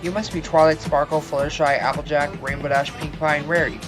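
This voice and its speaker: A man's voice: fairly high pitch, level tone, quick pace, close to an everyday voice. A young man voicing an awkward, eager new student who hesitates and stumbles over his words. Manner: hesitant, lots of ums and uhs. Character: nervous new-kid teenage boy, eager fan. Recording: voice over background music.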